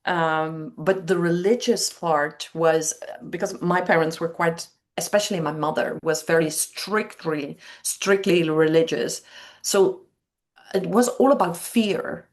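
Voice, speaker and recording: A woman in her fifties with a Dutch accent, a native Dutch speaker, giving reflective interview answers as a coach, often pausing between phrases. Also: fairly low pitch. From Netherlands. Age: 50s. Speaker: interview guest, training coach.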